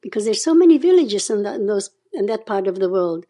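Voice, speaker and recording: A woman's voice: medium pitch, sing-song, quick pace, warm tone. A woman in her nineties from Czechoslovakia with a Hungarian-Czech accent, telling her memories in an oral history interview and often adding "okay?". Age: 90s. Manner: storytelling, often adds a questioning "okay?". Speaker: interview guest.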